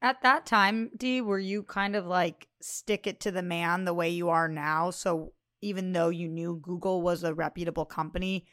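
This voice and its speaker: A woman's voice: lowish voice, steady tone, normal speed, few pauses, a bit bright, dry recording, clean studio sound. A woman co-hosting a casual podcast, who teases and asks pointed questions. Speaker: podcast co-host.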